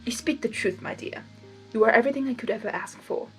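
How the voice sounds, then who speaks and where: Woman as a male chaos creature, high voice, gallant, theatrical, lovestruck, flowery storybook courting, music behind. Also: quick pace, close to an everyday voice, poor audio. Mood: tender. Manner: tender, flowery, emphatic courting.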